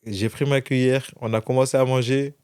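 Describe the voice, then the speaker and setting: A man's voice: mid-range voice, fairly even intonation, fairly quick, darkish tone, clean tone. A young man from France, a guest in an interview, telling his story casually with many restarts. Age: young adult.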